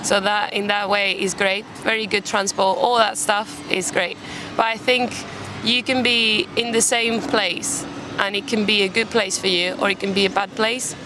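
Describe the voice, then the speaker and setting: A woman's voice: medium pitch, flat delivery, normal speed, few pauses. A young woman from Spain, a native Spanish speaker with a British accent, chatting casually as an interview guest. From Málaga, Spain. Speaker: podcast guest. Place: outdoors in a city park. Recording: outdoor urban background noise. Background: faint park and traffic ambience.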